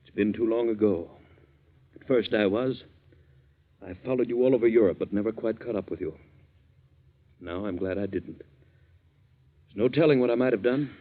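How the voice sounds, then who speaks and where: A man's voice: lowish voice, moderate pace, pauses often, close to an everyday voice. An actor playing a wealthy, stern but kindly businessman in a 1940s radio drama, speaking in an emphatic, formal way. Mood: guarded, shaken. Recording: muffled vintage 1940s radio recording.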